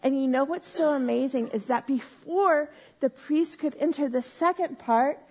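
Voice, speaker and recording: A woman teaching a Bible lesson to a live group on a microphone, explaining slowly and step by step and often checking in with "right?". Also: high voice, rough recording. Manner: says she has a loud voice. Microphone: handheld or stand mic.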